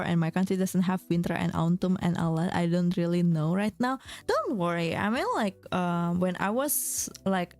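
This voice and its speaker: A young woman streamer chatting casually with her viewers, often restarting her sentences and filling pauses with "like". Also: fairly low pitch, darkish tone. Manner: chatty, many fillers and restarts, reads chat aloud. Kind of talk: casual chat with chat readers. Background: faint background music.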